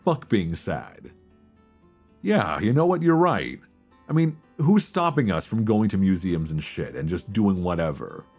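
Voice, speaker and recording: A very deep, creaky-voiced young man played by a male actor confides like a homesick old friend hiding a crush. His delivery is hesitant yet expressive, with plenty of movement in the pitch. It is an intimate romantic audio roleplay, captured close on a binaural mic. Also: measured pace, exaggerated voice. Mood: brightening, eager. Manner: hesitant, confiding, conversational with sighs. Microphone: close binaural microphone. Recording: close binaural recording with soft guitar music.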